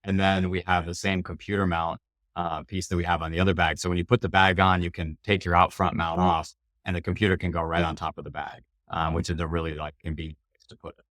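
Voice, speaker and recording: Deep, quick-talking man, dry sound. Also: flat delivery, fairly bright tone, no room sound. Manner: explanatory, informal. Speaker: bike bag company representative being interviewed. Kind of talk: casual product talk.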